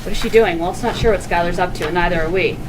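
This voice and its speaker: A woman's voice: on the low side, quick pace. A woman reading screenplay stage directions aloud as scripted narration. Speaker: stage directions reader at a table read. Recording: noisy room recording.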